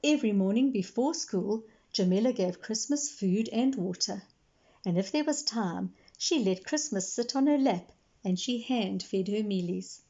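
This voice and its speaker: A woman storyteller reading aloud to young children in expressive narration, explaining things simply as she goes. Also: medium pitch, measured pace, lo-fi sound.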